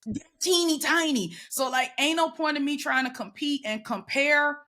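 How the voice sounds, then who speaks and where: A woman talking casually as a guest, loud and emphatic with a sassy edge. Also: high-pitched, moderate pace. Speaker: podcast guest. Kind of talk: casual conversation.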